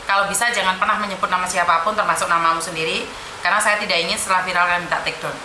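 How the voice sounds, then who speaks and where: A woman hosting a live-streamed call-in chat, animated and encouraging, slipping into Javanese terms of address. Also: fairly low pitch, racing, echoey room, slight background noise, low-quality recording. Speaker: host of a livestream.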